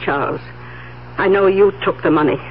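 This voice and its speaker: A woman's voice: on the low side, normal speed, slight background noise, lightly acted. An older woman playing a stubborn, suspicious aunt in a 1970s radio drama, tart and clipped. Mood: accusing. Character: suspicious, stubborn old aunt living alone. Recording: muffled, humming old radio recording.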